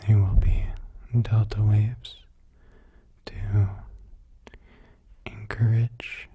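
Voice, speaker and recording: Male ASMR meditation guide: low, dark, hushed and breathy, soothing, halting phrases. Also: flat delivery, a little hiss or hum. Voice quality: breathy, hushed, mostly whispered. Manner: slow, soothing delivery with short halting phrases.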